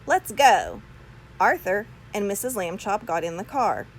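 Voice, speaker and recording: A woman reading a children's storybook aloud with expressive, deliberate phrasing. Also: medium pitch, a little hiss or hum, rough recording. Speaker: storybook reader. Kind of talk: children's read-aloud narration.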